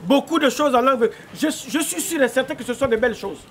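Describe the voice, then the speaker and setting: A man with a Gabonese accent, speaking for a visiting wedding delegation with polite, measured formality in a courtyard. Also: extremely high pitch, a bit bright, a little hiss or hum. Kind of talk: formal ceremonial speech. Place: outdoor courtyard.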